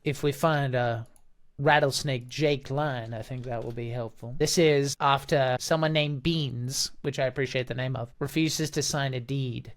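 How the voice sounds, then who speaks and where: Male voice actor, mid pitch, analytical, talking through his character choices. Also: fairly even intonation, measured pace. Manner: thinking aloud, analytical. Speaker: voice actor and dungeon master. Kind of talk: explanatory commentary on a voice acting audition.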